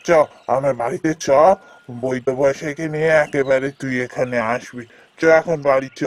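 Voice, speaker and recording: A man voicing an earnest, kindly village gentleman in a cartoon fairy tale, speaking familiarly like a patron to a junior. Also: medium pitch, fairly even intonation, moderate pace, natural voice. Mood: grateful.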